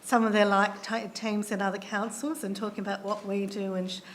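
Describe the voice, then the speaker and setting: A hoarse woman answers questions at a formal meeting, pausing on ums and restarting her sentences. Her delivery stays level and monotone, with little rise or fall. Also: mid-range voice, slight background noise. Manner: measured, with frequent ums and restarts. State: losing her voice. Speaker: council officer answering councillors.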